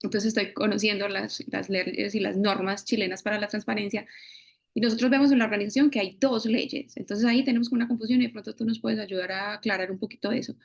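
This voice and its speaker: A Colombian woman speaks as a webinar participant in a medium-pitched voice with clear vocal fry. She lays out her question conversationally, explaining as she goes. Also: fairly even intonation, fast talker.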